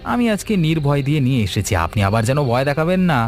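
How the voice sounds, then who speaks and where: A man's voice: medium pitch, very animated, quick pace, a little hiss or hum. A man voicing an earnest, practical village fisherman in a cartoon ghost story. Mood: nervously polite. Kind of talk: animated ghost story dialogue.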